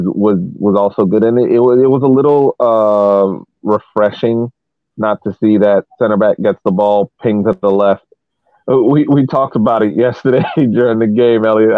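A man co-hosting a sports podcast, talking through a soccer game casually in a halting, measured way that repeats words. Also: fairly low pitch. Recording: roomy, thin phone-like call audio.

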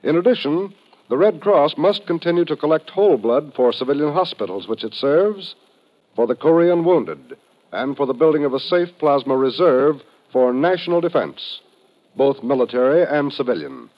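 A man's voice: a little high, lively intonation, normal speed. A man announcing on 1950s radio, reading a script in a formal, earnest manner. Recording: muffled 1950s radio recording.

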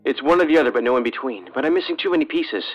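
A man playing an analytical, bluntly perceptive federal agent in an audio drama, deadpan, musing and pedantic. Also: medium pitch, rapid-fire, lo-fi sound, faint music behind, natural voice. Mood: musing, casual.

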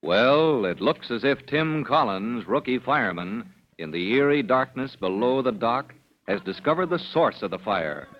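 Animated old-time radio announcer, a man. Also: medium pitch, moderate pace. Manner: emphatic, theatrical. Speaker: announcer, narrator. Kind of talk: dramatic 1940s-style radio announcing. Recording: muffled old radio recording.

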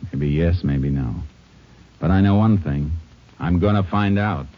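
An actor playing a calm, shrewd Texas Ranger in a 1950s radio western, speaking with an American accent in a measured, matter-of-fact way. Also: male voice, deep voice, very noisy. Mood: measured, resolute. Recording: dull old radio recording.

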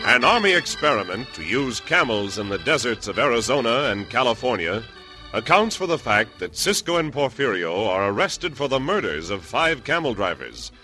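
An American man announces a classic radio serial with dramatic scripted narration. The voice is medium-pitched, forceful and highly animated. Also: normal speed, faint music behind.

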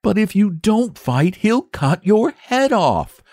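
A man reading aloud, putting on a young boy for a storybook, pitched far above his own voice and bursting with eagerness. The delivery is high and pleading, and the dry, close recording has no room sound. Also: very animated, slow, darkish tone, dry recording, exaggerated voice.

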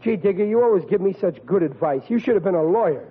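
An actor playing a bumbling, anxious, good-hearted middle-aged family man in old radio comedy, with a husky voice. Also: sits high, lively intonation, fast talker, narrow, tinny audio. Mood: troubled, downcast. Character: bumbling, anxious, good-hearted working-class husband and father. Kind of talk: 1940s-50s radio comedy acting. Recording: thin, band-limited vintage radio recording.

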